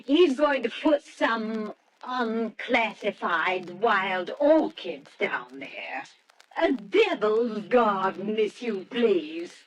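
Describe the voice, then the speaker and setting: An actress in an old-time radio drama playing a bossy, chattering professor's wife, imperious and theatrically emphatic. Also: female voice, medium pitch, sing-song, very slow, low-quality recording. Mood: confident, chatty.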